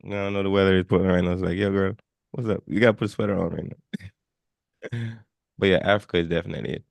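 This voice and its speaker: Male podcast host, informal and animated, low register, close dry sound, conversational. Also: quick pace, gravelly, no echo. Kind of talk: casual podcast chat.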